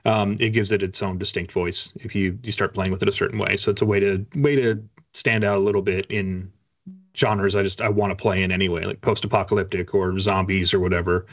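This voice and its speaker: Low-voiced middle-aged American man. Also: fairly even intonation, brisk. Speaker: author, interview guest. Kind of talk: casual interview chat. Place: home room with bookshelves, indoors. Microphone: video call. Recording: dull, muffled video-call audio.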